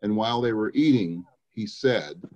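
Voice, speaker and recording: A man's voice: lowish voice, expressive, moderate pace, darkish tone. A man giving a dramatic reading of scripture as a church reader, on a slightly dull recording.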